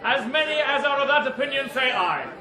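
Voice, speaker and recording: A woman's voice: high-pitched, animated, brisk. A woman playing a commanding Speaker of the House in a radio drama, formal and declamatory. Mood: formal, proclaiming. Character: stern, commanding female Speaker of the House of Commons. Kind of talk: parliamentary proclamation in radio drama. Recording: echoey chamber with loud crowd. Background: noisy crowd of members.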